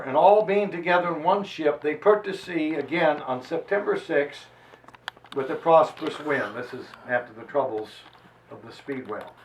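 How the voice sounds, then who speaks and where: A man giving a dramatic historical reading and lecture with theatrical, deliberate emphasis. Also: on the high side. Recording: echoey room, distant microphone.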